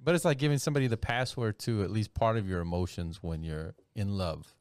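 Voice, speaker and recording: A man's voice: lowish voice, fairly quick, smooth, no echo, clean studio sound. A married man in casual banter, earnestly explaining his views. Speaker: married friend.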